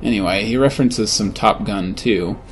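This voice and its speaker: A man's voice: on the low side, moderate pace, background noise. A man reading scripted narration for a video about games. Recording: music behind the voice.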